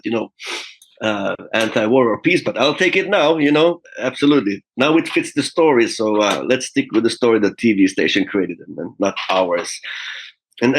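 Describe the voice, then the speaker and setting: A man in his fifties, a guest musician, telling stories in a relaxed interview with frequent fillers and small laughs. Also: mid-range voice, rough recording. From Finland. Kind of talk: casual interview talk.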